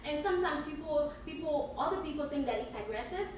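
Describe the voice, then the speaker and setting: Fast-talking woman, high voice, echoey room. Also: animated, distant mic, a little hiss or hum. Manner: fast talker, stammers and restarts. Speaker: podcast co-host. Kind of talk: casual chat.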